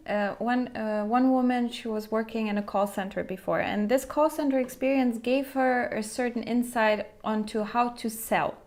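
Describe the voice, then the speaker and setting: A woman's voice: on the high side, a little mellow. A woman hosting an interview podcast, asking conversational questions in a wordy, searching way. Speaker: podcast host interviewing a guest.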